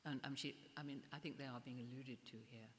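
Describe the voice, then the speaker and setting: An older woman art historian speaking at a public gallery conversation, halting and often restarting her words. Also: rumbling low, fairly even intonation. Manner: hesitant, restarts and repeats words. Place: gallery event space before an audience. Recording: echoey hall, faint hiss.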